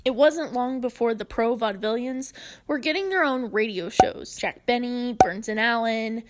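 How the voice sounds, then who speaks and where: Woman host narrating a video essay, voice a bit high, casual asides here and there. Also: normal speed, slight background noise. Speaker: host narrating a documentary on comedy history.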